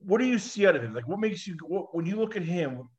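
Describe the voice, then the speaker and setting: A man's voice: high-pitched, expressive, fast talker. A man interviewing a boxer, asking long rambling questions padded with fillers. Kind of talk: sports interview.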